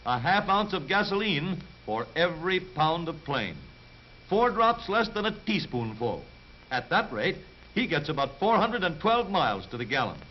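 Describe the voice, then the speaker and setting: An American man narrates a 1930s newsreel in a jaunty, dramatic showman style. His fairly high voice rises and falls with great animation. The old soundtrack is slightly dull. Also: very animated, normal speed, slight background noise.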